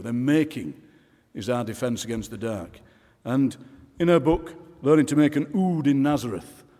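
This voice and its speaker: A man gives a spoken introduction at a live literary event. His delivery is rhetorical, leaning on emphatic repeated phrases, at a medium pitch. His voice carries the long reverberation of a big concert hall. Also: darkish tone. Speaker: poetry event presenter. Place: large concert hall stage.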